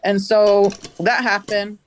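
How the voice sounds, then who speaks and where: A woman from the Navajo Nation, a farmer, recounting events in a studio interview with a loud voice and an animated telling. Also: mid-range voice, takes it slowly, a little hiss or hum. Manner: animated, acts out the dialogue she recounts. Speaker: farmer, guest.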